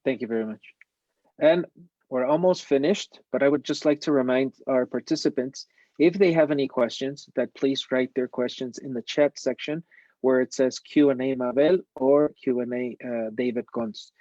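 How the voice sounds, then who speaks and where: A man moderating a webinar panel, polite and formal, pausing with fillers. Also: medium pitch, dark tone, no room sound. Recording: dull dry lo-fi audio.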